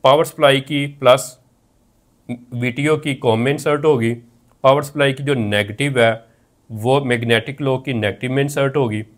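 A man's voice: mid-range voice, steady tone, moderate pace. A man in his thirties with a Pakistani accent, teaching methodically as a technical instructor and repeating each step. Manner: repetitive, methodical explaining. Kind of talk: instructional tutorial, explaining step by step. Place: indoor room at a whiteboard.

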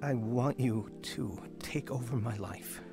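A man's voice: mid-range voice, a little slow, close to an everyday voice. A man playing an earnest, repentant middle-aged father narrating his life story in an American radio drama. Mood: humbled, pleading. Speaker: lead actor narrating his testimony. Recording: music bed under the voice.

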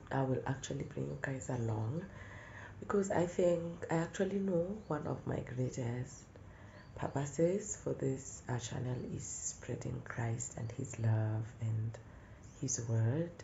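A young adult woman vlogging casually outdoors in the morning, her voice congested from a cold, talking softly and sometimes slipping into a whisper. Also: very deep voice, creaky, roomy sound, dull audio, background noise. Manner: soft-spoken, drifting into whispers. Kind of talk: casual morning vlog talk.

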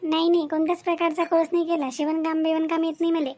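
Woman doing a squeaky, confident young village woman. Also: little pitch movement, fast, a little mellow. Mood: frank, confident. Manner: frank, plain-spoken. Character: outspoken, confident young village bride-to-be and her sister. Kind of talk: comic cartoon dialogue.

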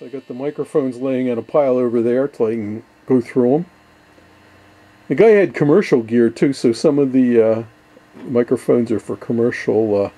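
An older man with a relaxed, mellow voice at a middle pitch. He talks casually as a hobbyist showing off his workshop, easygoing and rambling, often hesitating as he goes. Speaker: ham radio hobbyist, video host. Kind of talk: casual workshop show-and-tell. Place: cluttered indoor basement workshop.

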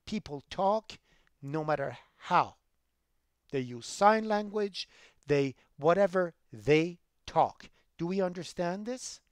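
A man's voice: high voice, lively intonation, takes it slowly, smooth, no echo, clean studio sound. Emphatic male instructor. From Iran. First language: Persian. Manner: emphatic, rises loud when making a point. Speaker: college programming instructor. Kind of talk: online lecture.

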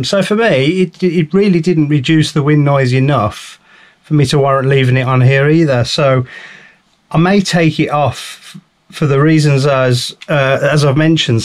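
A chatty man talks through a motorcycle gear review in a loose, rambling way. He stumbles over his words and restarts often, filling the pauses with ums. His voice sits at an everyday middle pitch and sounds like it was picked up by a helmet or camera mic. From England. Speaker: motorcycle vlogger. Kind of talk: casual product review to camera.

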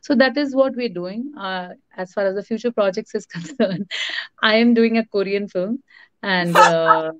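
A woman from Bihar with an Indian English accent, a native Bhojpuri speaker, talking as an interview guest. She talks rapidly with many fillers and mixes in Hindi. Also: medium pitch, fairly bright tone. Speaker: interview guest, actress and producer. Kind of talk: casual interview talk. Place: indoor room at night, on a video call. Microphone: laptop or phone camera mic on a video call. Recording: dull, dry, lo-fi video call audio.

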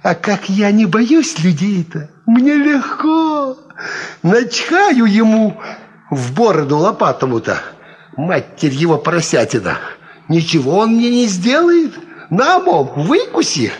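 An actor in a Soviet radio play playing a drunken, boastful old ex-soldier farmhand, rambling from mutters to shouts. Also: male voice, high-pitched, very animated, takes it slowly, exaggerated voice. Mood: confiding, defiant. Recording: 1950s Soviet radio drama recording.

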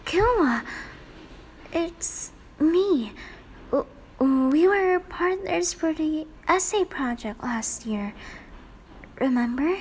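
A woman's voice: sits high, animated, slow pace, pauses often, a little hiss or hum, pitched above the performer's own voice. A woman voicing a catty, lovesick schoolgirl rival with an affected, sugary manner in a romantic roleplay. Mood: flustered. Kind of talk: romantic fan-fiction roleplay.

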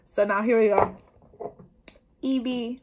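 Young female vlogger, higher pitch, casual slangy patter, recorded at home on the camera mic. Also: fairly even intonation, takes it slowly, darkish tone, a little hiss or hum. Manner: chatty, upbeat, slangy. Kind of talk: casual baking vlog. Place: indoors at home, kitchen table before a wallpapered wall.

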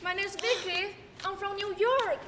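Bright, very high young woman on stage. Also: a little slow, slight background noise. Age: young adult. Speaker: student actor playing Vicky and narrator. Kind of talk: school stage skit in English. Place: large indoor stage.

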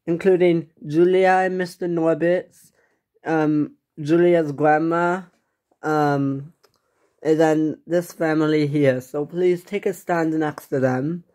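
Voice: mid-range voice, fairly even intonation, slow pace, a little mellow, natural voice. A performer voicing a firm, polite karate instructor who talks in an instructing way in a pretend-play story. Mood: welcoming. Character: insistent, chipper karate instructor.

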